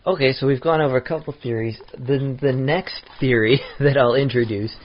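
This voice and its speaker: A man with a mid-range voice co-hosting a tabletop-game podcast, calmly laying out theories and questions in order. The sound is muffled and lo-fi. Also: medium pitch, moderate pace. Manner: methodical, lays out theories one by one. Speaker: podcast co-host. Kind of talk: casual chat about tabletop games. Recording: dull lo-fi podcast audio.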